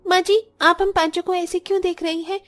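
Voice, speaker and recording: Woman voicing a high-pitched, sweet young daughter-in-law. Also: little pitch movement, a little fast, soft background music. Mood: sweet, earnest. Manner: polite, deferential, reassuring. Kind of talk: scripted Hindi moral story voice acting.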